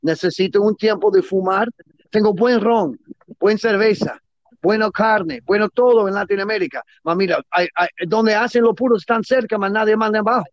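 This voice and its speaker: A man with a high voice speaks enthusiastically in broken, halting Spanish that is not his first language. The sound is dry and a little dull, with no room echo. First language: not Spanish; Spanish is his second or third language. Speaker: guest, cigar businessman. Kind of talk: closing remarks in a casual interview.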